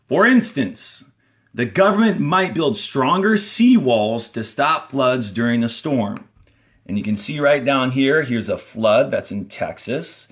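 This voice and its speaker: A man teaching young students, reading a textbook aloud in a deliberate, instructional way and adding brief explanatory asides as he goes. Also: fairly high pitch, a bit bright. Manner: deliberate, instructional, signposts each page and paragraph.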